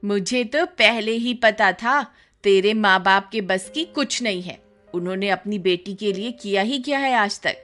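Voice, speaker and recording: A woman's voice: medium pitch, unhurried, fairly bright tone, soft background music, natural voice. Woman playing a bossy, scolding older mother-in-law. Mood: scolding, demanding. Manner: scolding, commanding, sarcastic. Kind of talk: Hindi animated horror story acting.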